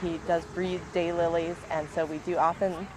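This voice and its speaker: A woman's voice: on the low side, level tone, normal speed, crowd noise, low-quality recording. A woman who coordinates volunteers, speaking earnestly and easily in an interview.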